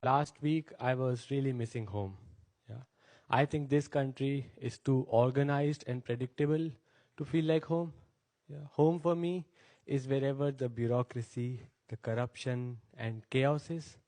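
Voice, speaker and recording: A man performing a stand-up set, speaking slowly and deliberately with pauses before the punchlines. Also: medium pitch, steady tone, a little mellow. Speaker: stand-up comedian on stage.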